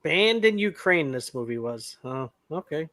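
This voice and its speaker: A man's voice: a little high, sing-song, unhurried, pushed and bright. A man chatting casually on a movie watch-along, making brief, laid-back remarks.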